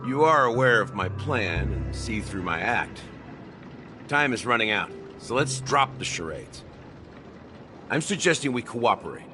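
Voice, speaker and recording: An actor playing a smug, playful young schemer in a game, teasing and theatrical. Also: male voice, fairly low pitch, lively intonation, a little slow, music in the background. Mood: persuasive. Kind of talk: scripted game voice acting.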